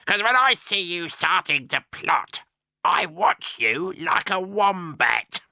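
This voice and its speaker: A man voicing a spiteful, cackling old woman with a shrill, gravelly voice. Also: animated, slow, sharp tone, no echo, heavily acted. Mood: taunting.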